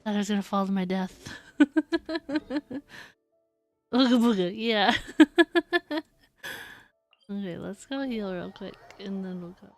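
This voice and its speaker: A woman's voice: mid-range voice, animated, takes it slowly, pauses often, faint music behind. A woman streaming a game, reacting casually with giggly, easily startled short remarks.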